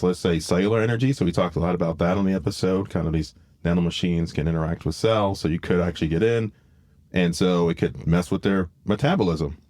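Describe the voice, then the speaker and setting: Casual podcast host, male, low voice, dry close sound, loose explanatory delivery. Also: a little mellow, dry recording.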